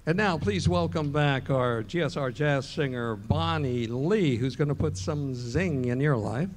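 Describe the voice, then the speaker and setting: A man giving a formal announcement at a live radio show, with crisp delivery. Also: a little high, a little slow. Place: ballroom stage, live show. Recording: loud music and crowd behind.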